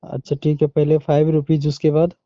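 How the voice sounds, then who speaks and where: A man's voice: on the high side, completely flat delivery, a little fast, dark tone, no echo. An Indian man on a muffled recorded phone call, chatting informally and pushing back with questions. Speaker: online seller on a phone call. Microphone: phone line.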